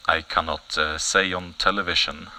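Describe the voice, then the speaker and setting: An actor playing a mysterious, solemn foreign informant in an audio comedy, halting, evasive and deadpan. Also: male voice, low-pitched. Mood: cryptic, solemn. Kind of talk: satirical news audio comedy acting.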